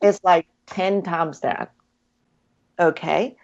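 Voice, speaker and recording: A woman's voice: on the low side, steady tone, takes it slowly, darkish tone, highs cut off. A woman whose first language is Cantonese, chatting as an interview guest in an effusive way with frequent ums. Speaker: guest, hypnotherapy practitioner. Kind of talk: casual interview chat.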